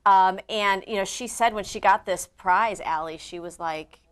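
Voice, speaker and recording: A woman from the Midwest co-hosting a casual political talk show, chatty and quick to laugh. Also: medium pitch, fairly bright tone, clean tone. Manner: chatty, laughs often, drifts between topics. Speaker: co-host.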